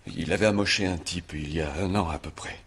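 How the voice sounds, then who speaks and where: An actor playing a sullen, withdrawn young male farmer in a French radio drama, raspy, answering in halting, evasive fragments. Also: low-pitched, fairly bright tone. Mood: reluctant, defensive. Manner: hesitant, evasive, taciturn, mumbled halting answers. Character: sullen, withdrawn 23-year-old male farmer accused of murder.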